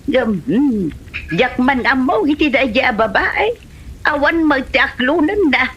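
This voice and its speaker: A woman's voice: sits high, expressive, slow, fairly bright tone, breathy edge, lo-fi sound, exaggerated voice. A woman with a Filipino accent playing a stubbornly single, exasperated village farm woman in a comedy drama. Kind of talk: comedy radio-style drama acting.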